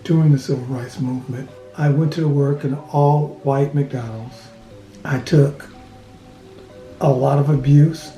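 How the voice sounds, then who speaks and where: A man in his seventies giving a slow, measured personal testimony. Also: mid-range voice, steady tone, warm tone. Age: 70s. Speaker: interviewee. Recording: roomy echo with faint music.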